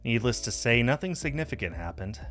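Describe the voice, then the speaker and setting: A man's voice: mid-range voice, very animated, brisk, a bit bright, soft background music. A man streaming a game and narrating its visual novel text aloud.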